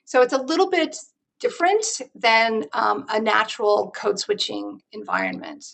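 A woman's voice: on the high side, big pitch swings, moderate pace, gravelly, fairly bright tone. A woman researcher explaining her field in an interview, thoughtful, with many fillers and restarts. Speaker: researcher guest.

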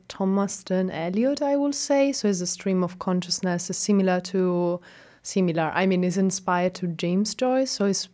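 A young woman with an Italian accent, Italian her first language, speaking as a guest in a casual interview, reflective and hesitant with many ums and soft laughs. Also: medium pitch. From Italy.

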